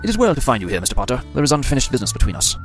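A man's voice: medium pitch, sing-song, rapid-fire, music in the background, pitched above the performer's own voice. A man voicing a stern, weary middle-aged male potions master who speaks formally and with resignation. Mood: grave. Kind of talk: audiobook character voice.

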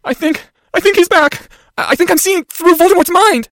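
A man's voice: sits high, sing-song, fast, a bit bright, no echo, studio quality, pitched above the performer's own voice. A man voicing a panicked teenage wizard boy with urgent, strained outcries in a dramatic audiobook. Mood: shrieking, terrified.

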